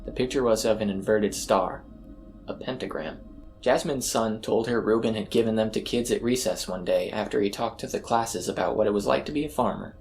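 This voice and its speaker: A man's voice: fairly low pitch, a little fast, darkish tone. A man narrating a scripted first-person horror story as its storyteller. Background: eerie ambient music.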